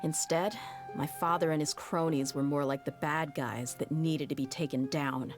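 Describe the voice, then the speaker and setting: A woman's voice: low voice, fairly even intonation, moderate pace, music in the background, natural voice. A woman voicing a tough young female mercenary in a game, talking in a frank, wry, matter-of-fact way. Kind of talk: video game cutscene dialogue.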